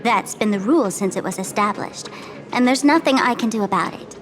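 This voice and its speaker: A woman's voice: mid-range voice, fairly quick, natural voice. An actress playing a mischievous, smugly self-assured young woman trickster in a video game, with a playful, theatrical manner. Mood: breezy, matter-of-fact. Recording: studio voice over game music.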